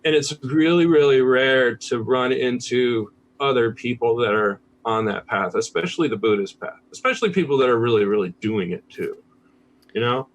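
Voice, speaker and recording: A man's voice: mid-range voice, moderate pace, a little hiss or hum, poor audio. An American man talking casually as a podcast guest, candid and laid-back, swearing freely. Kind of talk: casual conversational interview.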